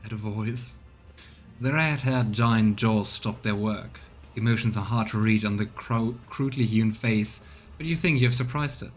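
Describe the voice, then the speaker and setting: A man's voice: fairly low pitch, a little slow. A man streaming a game, reading its text aloud as narration and adding casual comments of his own. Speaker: streamer, let's player.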